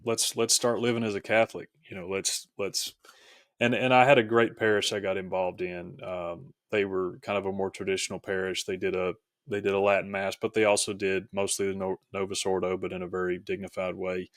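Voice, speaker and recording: A man's voice: low-pitched, little pitch movement, fairly quick, no room sound, studio quality. A man speaking as a podcast guest, telling a personal story casually in a reflective, somewhat hesitant way.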